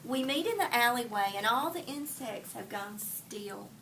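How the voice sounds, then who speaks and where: A woman with a fairly high, creaky voice leads a read-aloud lesson for young pupils. She prompts them with questions and echoes back what they offer. The recording is roomy, with clear classroom noise. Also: expressive, normal speed, fairly bright tone. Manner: prompting, repeats and affirms pupils' answers. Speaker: early grades teacher reading aloud to a class. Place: classroom with children heard around. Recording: roomy, distant mic, noisy classroom.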